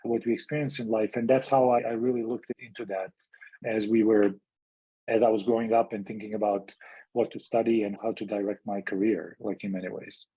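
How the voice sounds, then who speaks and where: A man from Serbia, a middle-aged physician and activist, gives a thoughtful interview answer. His voice sounds husky and a little breathy. He tells his story in a reflective way and often restarts his phrases. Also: lowish voice, little pitch movement, fairly quick, dark tone, low-quality recording. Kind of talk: interview guest.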